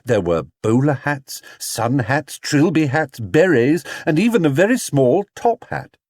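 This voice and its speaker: Male children's audiobook narrator, measured storytelling, highly animated melody, dry recording. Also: no room sound.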